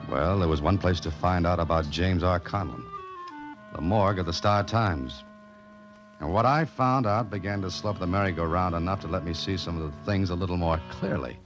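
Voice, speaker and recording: Wisecracking amateur detective, male actor, very low voice, sardonic and clipped, old radio mystery with music. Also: normal speed, lightly acted, rough recording. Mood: wry narrating. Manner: sardonic, hard-boiled, clipped. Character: wry, unflappable, wisecracking male amateur sleuth. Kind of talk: 1940s radio drama acting, first-person narration.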